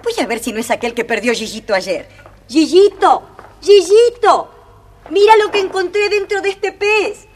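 An actress playing an amused woman cook who exclaims in an animated way, in a children's audio storybook. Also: very high voice, normal speed. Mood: amused, calling out.

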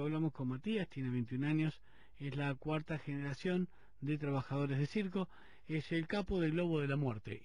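A man's voice: a little high, a little mellow, dry recording. Man, Rioplatense accent, radio host, teasing, dry studio, slightly distant. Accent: Rioplatense Spanish. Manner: joking, teasing. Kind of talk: casual phone interview.

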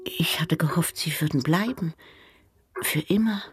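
An actress playing a frail, gentle, dying elderly mother in a radio drama, with a weak, breathy voice and a wistful, pleading manner. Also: low-pitched, measured pace.

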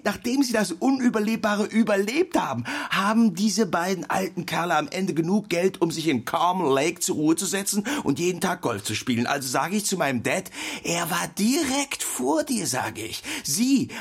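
Animated, husky storytelling husband. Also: male voice, high-pitched, heavily acted. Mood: animated, insistent. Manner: theatrical anecdote telling with quoted dialogue. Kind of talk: radio play storytelling.

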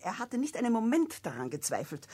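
An actress playing a frank, exasperated wife who insists the truth be spoken, with a husky voice, in a German dub of a stage drama. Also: female voice, fairly high pitch, wildly swooping pitch, a little fast, warm tone, full character voice. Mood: insistent. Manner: insistent, forthright.

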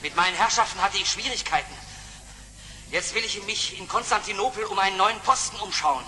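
A brisk little servant from a fairy-tale radio play. The voice is high and sharply bright. It rings in long hall reverb with music. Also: male voice. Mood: brisk, matter-of-fact. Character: brisk swift-footed servant seeking a new post. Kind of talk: German children's audio drama.

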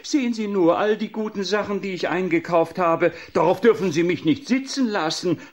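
An actor playing a chatty, lonely, overly eager graveyard worker in a theatrical, giggly, gushing manner for a radio play. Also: male voice, high-pitched, moderate pace, close to an everyday voice. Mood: confiding, coaxing. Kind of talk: German radio play acting.

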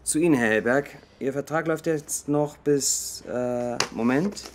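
A man's voice: mid-range voice, measured pace, noisy background, close to an everyday voice. A man playing a curt army colonel. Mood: formal. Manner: formal, clipped bureaucratic. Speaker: Egyptian colonel dismissing staff. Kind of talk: radio drama acting.

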